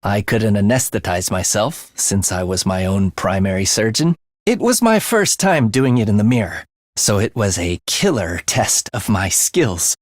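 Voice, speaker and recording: Wildly expressive, morbid young assassin. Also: male voice, on the low side, a little slow, no echo, clean studio sound. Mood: sly, proud. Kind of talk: English video game voice acting.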